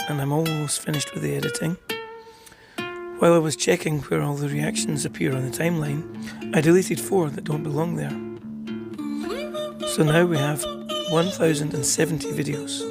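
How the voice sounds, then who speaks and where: A middle-aged man with a rough voice hosts a casual group chat, halting and full of fillers. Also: a little high. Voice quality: rough, gravelly voice. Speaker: host.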